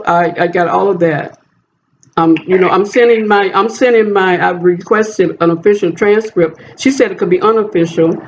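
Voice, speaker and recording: A man on a phone call as a job applicant, talking in an insistent, repetitive way. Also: high voice. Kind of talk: phone conversation. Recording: dull noisy phone call recording.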